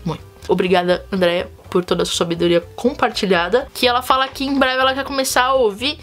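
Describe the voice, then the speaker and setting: Chatty Brazilian woman vlogger, slightly high. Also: quick pace, soft background music. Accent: Brazilian Portuguese. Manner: chatty, expressive, frequent asides to viewers. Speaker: channel host. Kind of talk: casual vlog chat.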